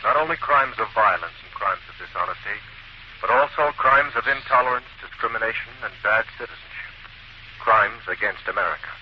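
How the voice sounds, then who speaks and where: An actor playing a genial, composed gentleman detective who narrates cases in a 1940s radio drama. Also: fairly low pitch, a little fast, natural voice. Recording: dull, noisy old radio broadcast.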